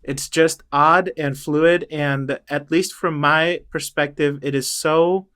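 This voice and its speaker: A middle-aged man speaking as an interview guest, thoughtful and halting, with many restarts and fillers. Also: fairly high pitch, fairly even intonation, a bit bright, clear, resonant tone. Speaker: interview guest, choral director. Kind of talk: reflective interview talk.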